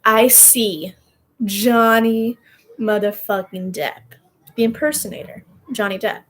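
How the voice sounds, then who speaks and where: A young woman in her twenties telling a personal story in casual chat, animated, laughing and going off on tangents. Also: mid-range voice, slow. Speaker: vlogger.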